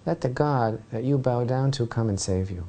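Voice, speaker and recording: Brief: man playing an ancient tyrant king, blunt and dismissive, mellow low-key voice, storytelling lesson. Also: moderate pace, lightly acted. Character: boastful stubborn ancient male king.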